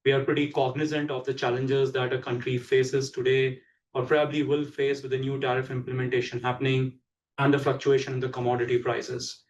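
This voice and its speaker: Male executive for an earnings call, measured and monotone, recorded far from the mic. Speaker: company executive. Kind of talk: prepared business presentation on an earnings call. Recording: distant mic, dull sound, low rumbling noise.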